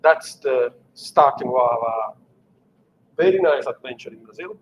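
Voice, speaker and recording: A man's voice: mid-range voice, expressive, measured pace, slight background noise, low-quality recording. A middle-aged man from Italy with an Italian accent, giving an unscripted technical presentation with many fillers and restarts. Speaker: engineering manager giving a technical talk.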